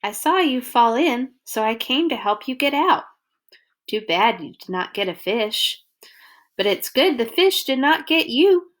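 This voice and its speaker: A woman voicing a friendly, excitable young boy from a children's storybook, exclamatory and earnest. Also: a little high, measured pace.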